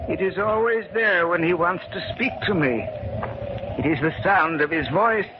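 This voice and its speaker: An actor playing an eerie, ominous servant entranced by an unseen master, in 1950s radio drama style. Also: male voice, high-pitched, normal speed, lightly acted. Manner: ominous, portentous. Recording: noisy dull old radio recording.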